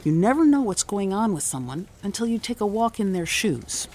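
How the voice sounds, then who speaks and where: A woman acts a solemn female counselor lecturing earnestly. Her mid-pitched voice moves expressively in a scripted radio play, with faint ambience behind. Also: very animated, normal speed, background noise, lightly acted.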